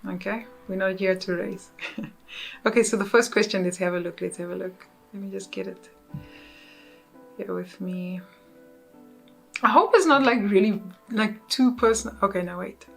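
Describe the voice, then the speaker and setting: South African woman, thirties, chatty vlogger, mid-pitched voice, soft music underneath. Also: moderate pace, vocal fry, long pauses. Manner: chatty, self-correcting, upbeat. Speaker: YouTuber. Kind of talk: casual vlog chat.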